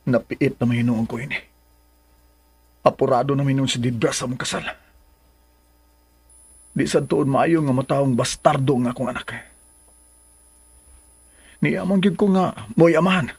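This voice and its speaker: A man's voice: mid-range voice, normal speed, pauses often, darkish tone, breathy edge, no echo. A man playing a troubled, brooding lover in a radio drama. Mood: brooding, resentful. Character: troubled man torn between bride and former lover.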